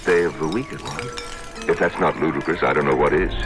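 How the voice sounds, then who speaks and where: An actor playing a brooding man confessing a dark deed, telling it wryly and deliberately in an old radio drama. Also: extremely low pitch. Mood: bitterly wry. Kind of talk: radio drama acting, reflective recounting. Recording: old radio broadcast with music underneath.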